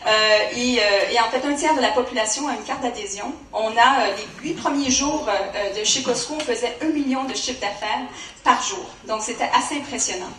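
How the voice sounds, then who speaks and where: A woman's voice: on the high side, moderate pace, fairly bright tone, distant mic, slight background noise. Canadian french woman in an echoey hall. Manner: hesitant, many fillers. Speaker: conference speaker. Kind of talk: conference presentation.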